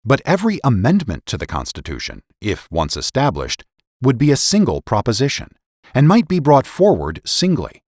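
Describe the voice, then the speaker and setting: A man's voice: mid-range voice, huge pitch swings, normal speed, a little mellow, no room sound, studio quality. A man giving a dramatic reading of historical political essays in a formal, emphatic, oratorical manner. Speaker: podcast host and narrator.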